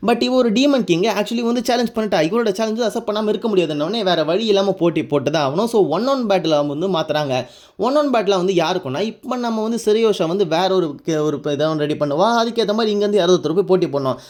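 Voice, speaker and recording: A man's voice: sits high, fast talker, darkish tone. A man recapping a story casually and informally, rambling along and mixing in English words. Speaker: YouTube anime recap narrator.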